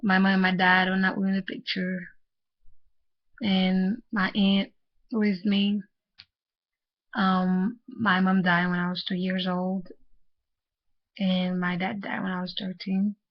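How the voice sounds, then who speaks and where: Young woman from Haiti, vlogger, flat hesitant delivery full of fillers, muffled lo-fi sound. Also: medium pitch. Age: young adult. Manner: soft-spoken, halting, many fillers and restarts. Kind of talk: personal storytelling vlog. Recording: muffled lo-fi audio.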